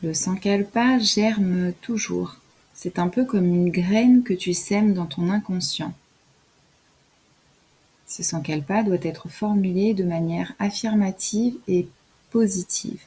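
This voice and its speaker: Female meditation guide: mellow, soft and breathy, soothing scripted instruction for deep relaxation. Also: slow pace, rough recording. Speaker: yoga nidra guide.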